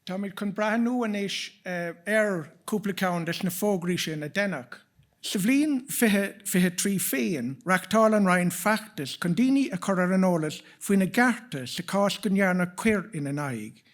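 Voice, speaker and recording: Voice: medium pitch, animated, normal speed. A speaker with an Irish accent, hosting an event and presenting in a formal but live style. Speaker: event host. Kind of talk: bilingual event presenting to an audience.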